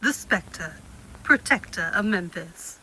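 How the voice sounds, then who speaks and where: A woman's voice: on the low side, animated, slow pace, sharp tone, slight background noise, exaggerated voice, rough recording. A woman voicing a bold announcer for a superhero audio drama, delivering a dramatic title introduction. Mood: dramatic, proud.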